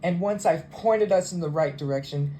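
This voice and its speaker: A man's voice: fairly high pitch, moderate pace, warm tone, slight background noise, close to an everyday voice. Bitter, sarcastic jilted flight attendant, acted by a man. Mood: resigned, bitter. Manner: sarcastic, wounded, emphatic. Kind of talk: theatrical solo monologue as cabin announcement.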